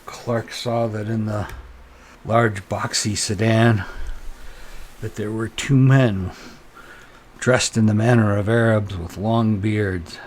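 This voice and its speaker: A man narrating a solo tabletop role-playing game, improvising haltingly and thinking aloud as game master. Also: lowish voice, steady tone.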